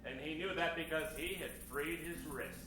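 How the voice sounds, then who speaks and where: A man's voice: on the high side, normal speed, recorded from a distance, noisy background. A man narrating improvised old-time radio comedy in a theatrical storytelling manner. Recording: echoey hall from afar, music behind.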